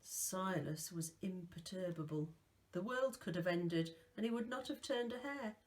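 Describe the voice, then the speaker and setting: A woman reads a novel aloud as a storyteller, taking her time over each sentence. Her voice sits on the low side and moves at a slow, deliberate pace. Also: animated.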